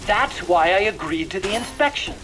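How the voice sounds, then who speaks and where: An actor playing an eager, earnest and nervous scientist-engineer in a video game. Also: male voice, sits high, expressive, moderate pace, background noise. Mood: earnest, persuasive. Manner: earnest, insistent. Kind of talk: scripted game dialogue. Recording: voice over loud rain.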